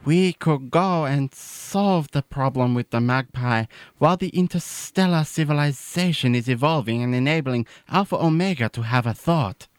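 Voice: mid-range voice, normal speed, hardly any pauses, no room sound, lightly acted. A performer voicing a stubborn, greedy pet snake in a comic radio sketch, matter-of-fact in delivery. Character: pet snake, sullen, greedy, defensive sidekick.

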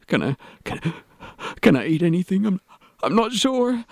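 A man playing a miserable hungover man in a comedy audio drama, groaning and whimpering in halting phrases. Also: sits high, big pitch swings, gravelly, darkish tone, dry recording, studio quality, full character voice. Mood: miserable, pleading.

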